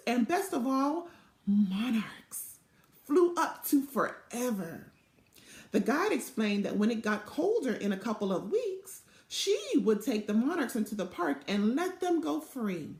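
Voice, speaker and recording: A woman narrates a children's storybook in an expressive, deliberate way. Her voice is on the high side and she takes her time over the phrases. It sounds like a device mic in an echoey little office. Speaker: storybook reader. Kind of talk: children's read-aloud narration. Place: small indoor office.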